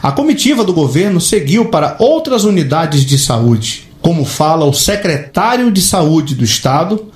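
A man's voice: on the high side, sing-song, moderate pace, echoey room. A man with a Brazilian accent reading scripted news narration as a reporter.

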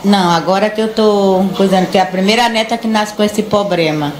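A woman's voice: mid-range voice, fairly quick. A middle-aged or older woman, answering plainly as an interviewee. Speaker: interviewee, grandmother. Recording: echoey room with faint noise.

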